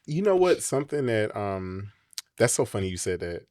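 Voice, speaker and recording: A man hosting a relaxed interview, sounding supportive and enthusiastic. He speaks casually, with plenty of everyday slang. His pitch and delivery stay close to an ordinary conversational voice. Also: moderate pace. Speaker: interview host.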